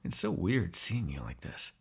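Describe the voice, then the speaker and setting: Man acting a snarky, gravelly vampire. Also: sits low, huge pitch swings, moderate pace, a bit bright, exaggerated voice. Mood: quiet, musing. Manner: sarcastic, swearing, teasing. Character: snarky, teasing male vampire captive, predatory yet fond. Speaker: voice actor in audio roleplay. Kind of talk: ASMR roleplay acting.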